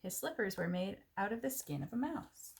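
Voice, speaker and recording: A woman reading a children's picture book aloud in an expressive, clearly phrased way, with casual asides. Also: mid-range voice, normal speed.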